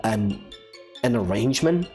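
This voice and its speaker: A woman puts on the voice of a timid young man assisting in a risky lab. He stutters and frets, pitched down into a low register. Faint music sits under the voice. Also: animated, measured pace, mellow. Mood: flustered. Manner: jittery, stammering, anxious. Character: nervous young male student assistant. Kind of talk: fan game voice acting.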